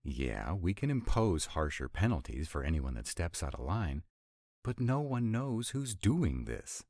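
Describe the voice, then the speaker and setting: Man playing a very deep-voiced sarcastic vampire. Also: very animated, a little slow, a little mellow, no echo, studio quality, heavily acted. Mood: irritated, sarcastic. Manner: sarcastic, conversational, drawling asides. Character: sarcastic male vampire, irritable, wry, rebellious council member. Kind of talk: intimate one-sided roleplay audio acting.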